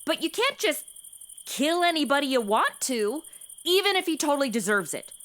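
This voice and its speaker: A woman's voice: high voice, big pitch swings, normal speed, a bit bright. A woman playing a brash, oversharing modern young woman in a western comedy audio drama, with an American accent and a sassy, slangy, blurting delivery. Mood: sarcastic, oversharing. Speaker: lead actor in a comedy audio drama podcast. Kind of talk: comedic radio-play acting.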